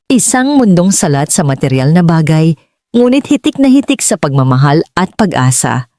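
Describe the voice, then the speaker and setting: A woman's voice: sits low, big pitch swings, moderate pace, warm tone, dry recording, studio quality. A woman reading a scripted dramatic story as an audiobook narrator.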